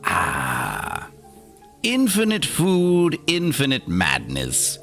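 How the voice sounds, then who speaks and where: A man's voice: mid-range voice, wildly swooping pitch, extremely slow, creaky, fairly bright tone, faint music behind. A man hosting an in-character livestream, talking theatrically in a put-on accent with dry, opinionated asides. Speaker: streamer host.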